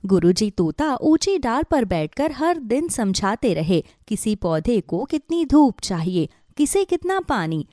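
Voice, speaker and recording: A woman narrating a scripted children's story in an expressive storytelling manner. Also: on the high side, unhurried, few pauses, dry recording, studio quality.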